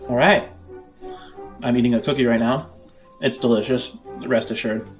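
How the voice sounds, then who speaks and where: A man's voice: mid-range voice, steady tone, moderate pace, faint music behind. A man hosting a tabletop role-playing show as its game master.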